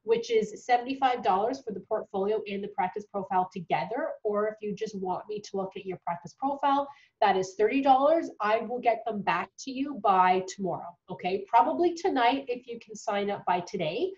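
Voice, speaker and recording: A woman's voice: medium pitch, animated, brisk, a little mellow. A woman in her thirties with a cold, talking casually to camera from a bedroom, with lots of ums and restarts. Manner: chatty, many ums and restarts. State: sick with a cold, voice going. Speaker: dental hygiene tutor. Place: small bedroom at home. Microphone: webcam at desk distance. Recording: dull lo-fi webcam audio.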